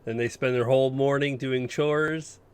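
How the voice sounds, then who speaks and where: A man's voice: mid-range voice, fairly even intonation, moderate pace. Blunt man in casual chat. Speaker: podcast co-host.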